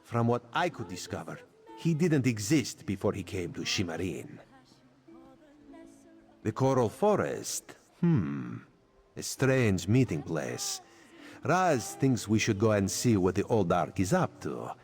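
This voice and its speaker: An actor playing a sly catlike spy in a video game, playfully secretive and conspiratorial, talking about himself in the third person. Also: male voice, lowish voice, big pitch swings, slow pace, darkish tone, soft background music, full character voice. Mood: wry, suspicious. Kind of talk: video game character acting.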